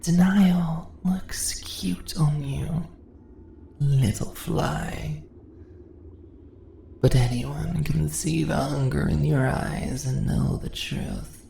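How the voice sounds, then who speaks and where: Slow, low, seductive spider-woman played by a woman. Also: steady tone, creaky, warm tone, exaggerated voice. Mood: taunting, seductive. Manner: teasing, purring, slow, often dropping into whispers. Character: seductive, dominant spider-woman monster toying with captured prey. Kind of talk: ASMR fantasy roleplay. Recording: roomy echo, faint background noise.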